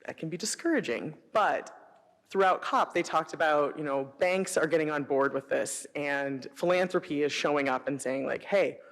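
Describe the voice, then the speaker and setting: A middle-aged woman with an American accent giving an informal presentation, telling personal anecdotes in an animated way and acting out quoted dialogue. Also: deep voice, moderate pace. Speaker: city sustainability director presenting. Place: presentation hall before an audience. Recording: echoey hall, long reverb.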